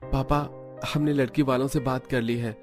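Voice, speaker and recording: Man acting an earnest, determined son, raised pitch. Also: steady tone, normal speed, faint music behind, close to an everyday voice. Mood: resolved. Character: earnest, determined grown son addressing his parents. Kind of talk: Hindi cartoon family drama voice acting.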